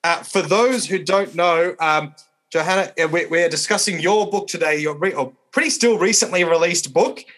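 Man hosting, slightly high voice. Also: normal speed, fairly bright tone. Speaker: podcast host, church minister. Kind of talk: conversational podcast interviewing.

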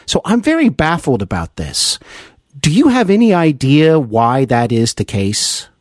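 A man hosting a conversational interview, speaking in wordy, effusive, halting phrases. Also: on the high side, sing-song, no room sound, studio quality. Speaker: interview host.

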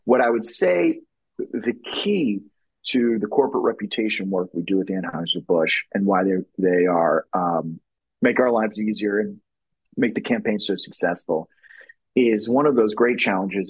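A man's voice: low voice, husky, dry recording, poor audio. A middle-aged man from Louisiana, a brand strategist talking in a podcast interview, rambling with many restarts and fillers.

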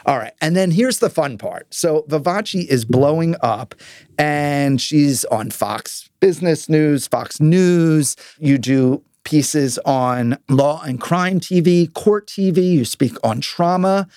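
A man's voice: a little high, expressive, slow pace, a little mellow, smooth, no room sound, clean studio sound. A man hosting an interview in a casual, conversational way, informal and affirming with his guest. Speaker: podcast host interviewing a guest.

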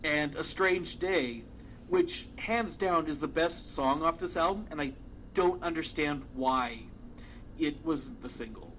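Rambling man, slightly high, dull recording. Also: animated, unhurried, fairly bright tone, slight background noise. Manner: rambling, many fillers and restarts, swears casually. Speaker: music podcast co-host. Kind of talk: casual chat.

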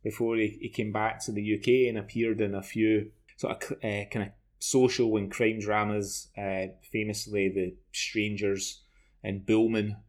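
Scottish guy from glasgow, 40s, low voice, chatty podcast host, rambles a bit. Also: level tone, moderate pace, a little mellow. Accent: Glaswegian Scottish. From Glasgow, Scotland. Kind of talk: casual discussion of television.

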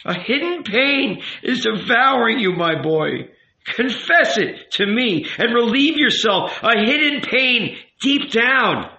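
A man's voice: very high-pitched, sing-song, slow pace, gravelly, sharp tone, voice pushed up, exaggerated voice. A man voicing an old rabbi in an audiobook, tender and earnest, coaxing and emphatic as he counsels. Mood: tender urging. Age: older. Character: old rabbi uncle, tender, pleading, gently commanding confessor. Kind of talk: dramatic audiobook character reading. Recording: roomy, echoing, slightly dull sound.

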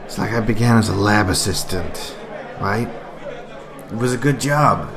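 An actor playing a timid, tipsy failed comedian in an audio drama, hesitant, self-pitying and rambling. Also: male voice, lowish voice, normal speed, crowd noise, close to an everyday voice. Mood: anxious, self-pitying.

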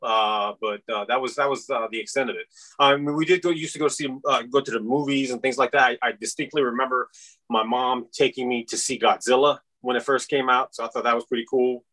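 A man's voice: medium pitch, steady tone, a little fast, pushed and bright, low-quality recording. A man in his fifties from Iran, a podcast guest rambling casually with many fillers. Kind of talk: casual interview chat.

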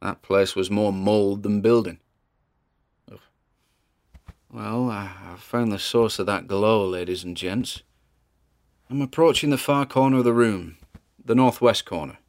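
A man's voice: on the low side, measured pace, pauses often. A man with a British accent playing a wary, chatty paranormal investigator narrating his exploration in a podcast-style audio drama, with theatrical asides. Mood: uneasy, curious.

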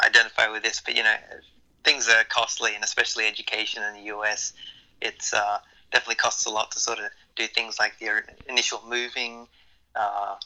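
Very bright-voiced man in his thirties. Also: on the low side, low-quality recording. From Australia. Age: 30s. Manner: relaxed, rambling with fillers. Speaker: graduate student guest. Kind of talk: casual roundtable interview.

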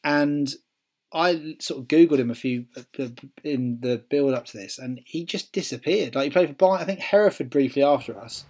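A man's voice: medium pitch, moderate pace, darkish tone. A man with a Welsh accent chatting casually as a podcast co-host, musing aloud with ums and restarts. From Wales. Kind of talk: casual chat.

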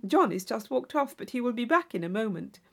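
A woman's voice: on the high side, big pitch swings, a little fast, gravelly, full character voice. A woman voicing a coquettish young lady of fashion in an audiobook, effusive and affectedly protesting. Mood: gushing, playful. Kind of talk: audiobook character voice.

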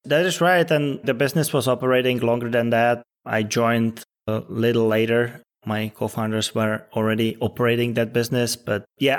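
Czech-born man in his thirties, measured. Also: mid-range voice. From Czech Republic. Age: 30s. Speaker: startup CEO guest. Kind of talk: podcast interview answers.

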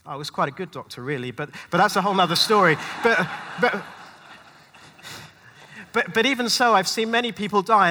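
High, bright-voiced man preaching in a hall. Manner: rhetorical repetition and deliberate dramatic pauses. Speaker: pastor. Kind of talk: dramatic sermon with rhymed spoken-word passages. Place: large indoor venue, speaking live to a gathering. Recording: live hall with long reverb.

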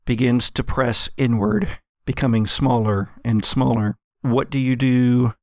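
A man working as game master, narrating a gothic tabletop horror game in halting, deliberate phrases. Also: mid-range voice, steady tone, no echo, studio quality.

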